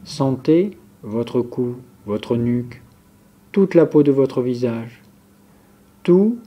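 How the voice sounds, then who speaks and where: Male meditation guide: mid-pitched, dark relaxed tone, unhurried, calm instructional delivery. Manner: calm, measured, instructive, listing step by step. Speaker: yoga nidra instructor.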